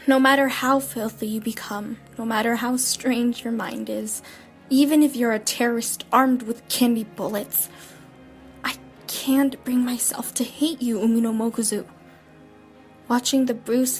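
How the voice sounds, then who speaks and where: A woman playing a troubled, pensive schoolgirl narrator in an audio drama. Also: on the high side. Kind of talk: audio drama acting with inner monologue.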